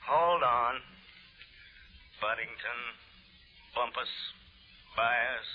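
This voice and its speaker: An actor playing a jocular, needling police lieutenant in a 1940s radio detective drama, with a husky voice and teasing delivery. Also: male voice, medium pitch, drawn-out words, soft background music. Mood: sardonic, businesslike. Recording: dull, lo-fi 1940s radio recording.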